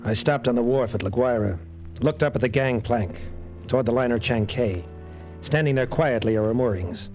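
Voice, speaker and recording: A man's voice: mid-range voice, moderate pace, natural voice. An actor playing a male adventurer in a 1940s radio drama, with a dramatic delivery. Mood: tense, brooding. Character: adventurer narrator, gripped by dread, teasing with women. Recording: vintage lo-fi radio, music behind.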